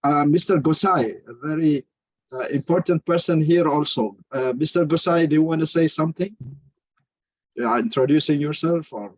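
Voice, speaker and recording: A man moderating an online forum over a video call, courteous and halting with many filler pauses. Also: a little high, no room sound, poor audio.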